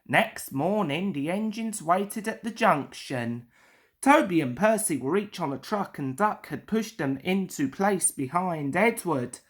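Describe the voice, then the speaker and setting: A woman reading a children's story aloud as narrator. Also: on the low side.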